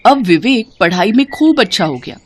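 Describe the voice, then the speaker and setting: A woman narrating a children's moral tale in an expressive storytelling manner. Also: mid-range voice, normal speed, over music.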